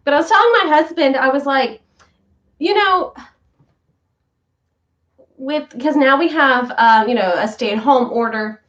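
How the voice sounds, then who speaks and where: A woman's voice: high voice, unhurried, long pauses, a bit bright, dull audio. A woman hosting a casual morning vlog from home, talking in a chatty, rambling way while a little stuffy from sinus trouble. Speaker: vlog host, voice worker. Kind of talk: casual morning chat to viewers.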